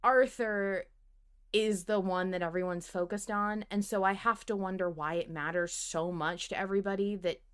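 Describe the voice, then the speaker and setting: A woman's voice: medium pitch, fairly even intonation, moderate pace, a bit bright, clean tone. Woman podcast host, chatting about fan stuff, emphatic, swears a lot, asks big questions. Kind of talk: animated fan discussion of a story podcast.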